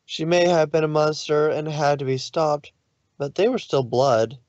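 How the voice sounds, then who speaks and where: A man's voice: on the high side, normal speed, a little mellow, muffled recording. A man reading scripted story narration in a dramatic style.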